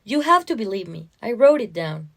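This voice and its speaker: A woman's voice: on the high side, sing-song, normal speed, fairly bright tone, full character voice. A woman voicing a sleep-deprived, anxious mother in a story, pleading and defensive as she insists.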